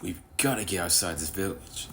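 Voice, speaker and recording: Man voicing a low-pitched, determined young devil hunter. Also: normal speed, a bit bright, slight background noise. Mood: somber, resolute. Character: determined young male devil-hunter from another universe, earnest and guarded. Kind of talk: animated-series character dialogue, recorded in repeated takes.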